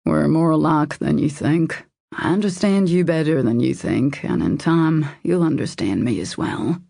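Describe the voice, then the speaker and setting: A woman voicing a devoted, protective man in an audiobook romance, in a low husky murmur, earnest, intimate and reassuring. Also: expressive, a little slow, dark tone, no room sound, studio quality, heavily acted. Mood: soothing.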